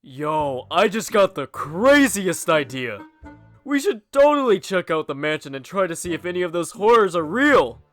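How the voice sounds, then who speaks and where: A man's voice: high voice, very animated, normal speed, dry recording, faint music behind. A man playing a brash, cocky young daredevil who goads his friends in bro talk, in a cheesy horror audio drama. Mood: excited, goading. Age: young adult. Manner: bro-talking, goading, cocky.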